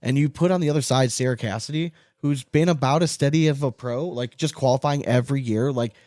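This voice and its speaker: A man's voice: mid-range voice, fairly quick, darkish tone, no echo, studio quality. A man hosting a podcast, chatting casually about sport in an informal, emphatic way with some swearing. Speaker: podcast host.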